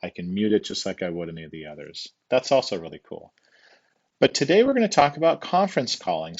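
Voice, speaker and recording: Male tutorial instructor, fairly low register, patient and informal step-by-step delivery, mildly lo-fi sound. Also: breathy edge.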